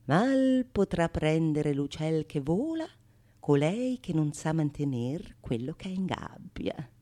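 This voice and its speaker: An actress playing a shrewd, mocking courtesan in a theatrical radio monologue, declamatory and sardonic. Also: female voice, sits low, very animated, takes it slowly, heavily acted. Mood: shrewd, calculating. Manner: declamatory, sardonic, swinging from sung refrains to hushed asides. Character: shrewd, mocking, calculating courtesan scorning her besotted suitor. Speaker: stage actress performing solo.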